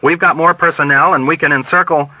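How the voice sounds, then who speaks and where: A man's voice: on the high side, steady tone, brisk, no room sound, dull audio, voice pushed up, lightly acted. A man reading a novel as a deferential male officer, urgent and flustered. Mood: urgent, anxious. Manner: urgent, anxious.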